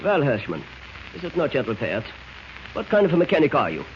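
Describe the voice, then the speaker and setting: An actor playing a menacing, imperious spy mastermind in clipped, formal 1940s radio style. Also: male voice, on the high side, huge pitch swings, a little fast. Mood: impatient. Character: cold menacing male German spy mastermind. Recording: muffled, hissy old radio recording.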